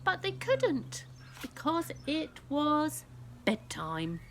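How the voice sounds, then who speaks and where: Slow, very expressive woman reading to children. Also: high-pitched, a little hiss or hum. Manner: addresses young children directly, unhurried storytelling. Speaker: children's story reader and presenter. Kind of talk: expressive picture-book read-aloud for young children.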